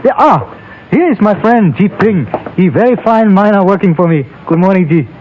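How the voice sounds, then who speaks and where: A courteous Chinese merchant in an old radio Western, with a high voice and a Chinese accent. His phrasing is formal, trusting and measured. The recording is dull and muffled. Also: expressive, a little hiss or hum. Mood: polite, puzzled. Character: courteous, trusting older Chinese storekeeper and mine owner. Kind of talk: old-time Western radio drama acting.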